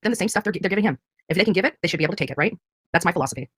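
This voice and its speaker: Husky, combative fast-talking woman. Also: on the low side, animated, a bit bright. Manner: combative, defiant, repetitive. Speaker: true crime creator and child advocate. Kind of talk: unscripted venting to viewers.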